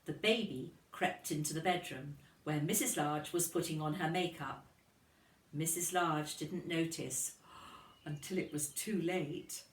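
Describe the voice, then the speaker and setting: A woman's voice: deep voice, measured pace. A woman reading a children's storybook aloud expressively, in the manner of a kindergarten teacher. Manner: expressive, addressing young children. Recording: roomy echo, distant from microphone.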